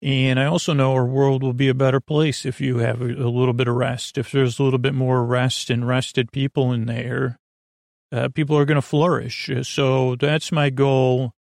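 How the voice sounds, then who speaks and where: A man hosting a bedtime podcast in a creaky voice, rambling in a lulling, halting, meandering way. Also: mid-range voice, level tone, a little mellow, dry recording, studio quality. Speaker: podcast host.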